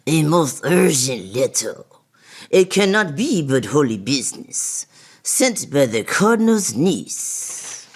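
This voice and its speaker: A woman's voice: deep voice, big pitch swings, slow, breathy edge, full character voice. A woman playing a naive, pious female priest in radio theatre, speaking in a solemn, earnest manner. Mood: earnest, urgent.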